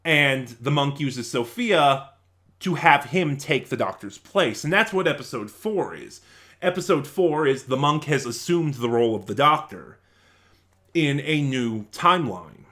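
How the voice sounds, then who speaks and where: A man hosting a casual video review, talking to viewers in halting, conversational short bursts. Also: mid-range voice, lively intonation, sharp tone. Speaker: video reviewer and channel host.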